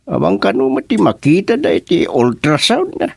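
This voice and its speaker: A man playing a concerned villager with an Ilocano accent and a gravelly voice in a radio drama. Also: high voice, huge pitch swings, moderate pace, a little mellow, no room sound, studio quality, exaggerated voice. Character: concerned village man relaying news of a child's illness.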